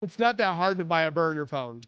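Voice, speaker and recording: Casual woman reacting, lowish dry voice. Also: animated, fairly quick, clear, resonant tone, no room sound, dull audio. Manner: informal, chatty, brief interjections. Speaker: reaction video host.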